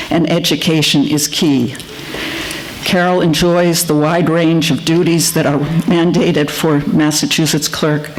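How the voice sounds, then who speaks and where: A woman reading a nomination speech at a town meeting, earnest and a little halting. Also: low voice, a little hiss or hum, poor audio. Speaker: resident giving nominating speech.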